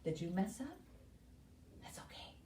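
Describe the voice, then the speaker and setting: A woman hosts a story time for young children at an unhurried pace. She speaks in simple, encouraging instructions and questions. Her voice comes from a little way off the microphone in an echoey room. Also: medium pitch, very animated, dark tone, in a whisper. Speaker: library story time host. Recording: roomy echo, distant microphone.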